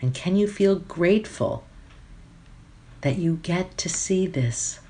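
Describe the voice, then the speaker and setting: Slow, low-voiced woman guiding meditation. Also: lively intonation, mellow, a little hiss or hum. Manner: unhurried, phrase-by-phrase instruction with long pauses. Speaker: meditation teacher. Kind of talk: guided meditation.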